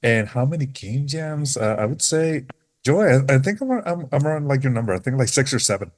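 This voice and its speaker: A man's voice: medium pitch, expressive, brisk. A man introducing himself on a casual video-call panel, laid-back and slangy, often stumbling and repeating words. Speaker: developer advocate, panelist.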